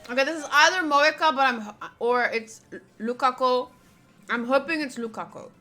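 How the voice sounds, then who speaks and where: A woman's voice: high voice, a little fast, music in the background. A woman streaming a game, reading its text aloud and chatting casually with playful asides.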